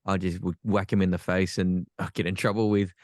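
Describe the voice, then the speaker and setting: A man's voice: deep voice, little pitch movement, fairly quick, dry recording, studio quality. An Australian man, a podcast guest, telling his life story frankly with self-deprecating humor. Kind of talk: candid personal storytelling.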